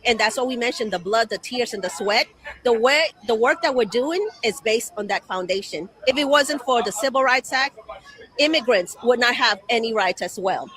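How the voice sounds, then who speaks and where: A woman's voice: a little high, lively intonation, normal speed, a bit bright, rough recording. A woman from Ecuador, speaking earnestly as an interviewed activist.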